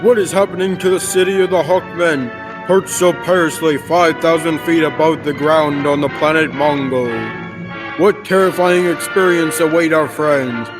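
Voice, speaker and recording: A florid old-time radio announcer, played by a teenage boy. He narrates theatrically in a slightly high male voice. Music runs behind him. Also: steady tone, moderate pace, natural voice, rough recording. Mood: suspenseful. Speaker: student actor, narrator. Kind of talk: scripted dramatic narration.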